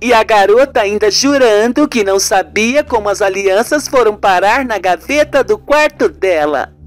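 A man's voice: sits high, lively intonation, normal speed. A man voicing a gossipy, suspicious wife in a radio serial, with an emphatic, colloquial way of talking. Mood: indignant.

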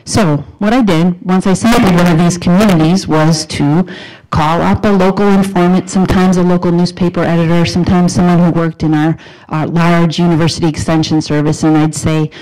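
A middle-aged woman from Wisconsin with a Wisconsin accent, giving an academic talk on a handheld mic in a lecture room, earnest and emphatic, pausing to rephrase. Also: lowish voice, steady tone, a little mellow, poor audio. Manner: earnest, emphatic rising questions, pauses to rephrase. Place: lecture room in front of a projection screen. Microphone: handheld microphone.

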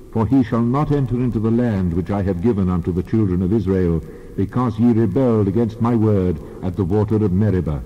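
A man's voice: on the low side, muffled recording, voice pushed down, close to an everyday voice. A man voicing the Lord as a stern, commanding deity in a dramatized scripture reading, speaking solemnly as if pronouncing judgment.

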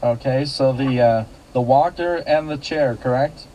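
Mellow male local radio host. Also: mid-range voice, measured pace, muffled recording, a little hiss or hum. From United States. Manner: polite, repeats details back to callers. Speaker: radio call-in show host. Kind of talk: local radio classifieds call-in hosting.

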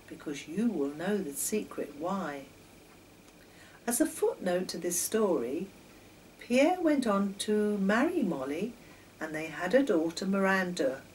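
Woman in her sixties, slow storybook reading, recorded from a distance in a kitchen. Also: fairly low pitch. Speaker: children's story author and reader. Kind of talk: reading a children's story aloud. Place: home kitchen, indoors. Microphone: camera a few metres away. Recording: distant camera mic, faint noise.

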